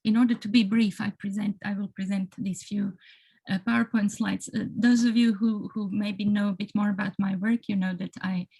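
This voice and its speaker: A woman's voice: mid-range voice, little pitch movement, moderate pace, mellow, breathy edge, low-quality recording. Hesitant woman with a Croatian accent. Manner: hesitant, with many restarts and fillers. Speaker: panelist. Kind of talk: academic talk on a video call. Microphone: video call.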